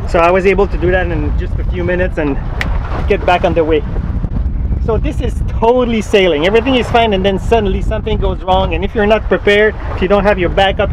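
A man in his forties with a fairly high voice talks in a relaxed, chatty way while sailing alone. He is recorded on an action camera in an open cockpit, with steady wind and water noise behind him. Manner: relaxed, chatty, matter-of-fact. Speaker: solo sailor vlogger. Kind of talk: casual vlog narration. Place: open cockpit of a small sailboat at sea.

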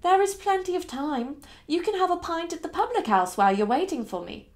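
A woman's voice: very high voice, lively intonation, fairly quick, pitched above the performer's own voice. A woman voicing a polite, resolute, well-bred Victorian young lady with a formal way of speaking in an audiobook. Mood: patient, firm.

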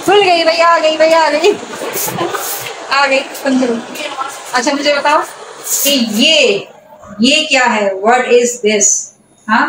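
A woman's voice: sits high, takes it slowly, pauses often. A middle-aged woman, chatting casually to her phone and often repeating phrases. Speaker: vlogger. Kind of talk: casual family vlog chat. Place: busy indoor shopping mall and lift. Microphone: handheld phone at arm's length. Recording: noisy crowded mall, loud background din.

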